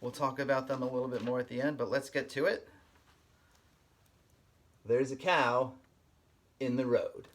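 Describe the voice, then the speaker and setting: A man working as a kindergarten teacher, giving an expressive read-aloud and explaining things simply to young listeners. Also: medium pitch, moderate pace, long pauses.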